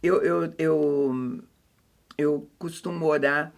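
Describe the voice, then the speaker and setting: A middle-aged Brazilian woman hosts a relaxed faith talk. Her voice sits in an everyday conversational register. She speaks expressively, often putting questions to her listener. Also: low-pitched, very slow, vocal fry.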